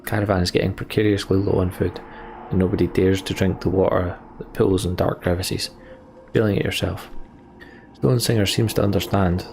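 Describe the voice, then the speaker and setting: A man with a low, creaky voice narrates a story-driven game as a let's play host. He moves between reading text aloud and offhand comments. Clear background noise sits behind him. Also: darkish tone.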